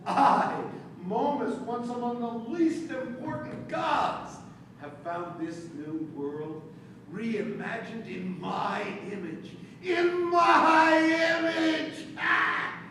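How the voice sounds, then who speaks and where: Echoey far-off stage recording, a sardonic god of satire, high theatrical voice, big swoopy delivery. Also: male voice, animated, bright, forceful tone, exaggerated voice. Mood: boastful, exultant. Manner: grandiose, sardonic, drawn-out declamation. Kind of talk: projected live stage play acting. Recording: distant, echoey theater recording with background noise.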